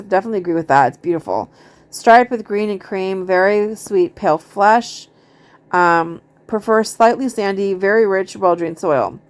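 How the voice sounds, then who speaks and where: A woman talking casually as a gardening vlogger, in a rambling, conversational way. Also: medium pitch. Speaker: gardening vlogger showing a seed haul. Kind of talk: casual chat, reading out seed packet notes.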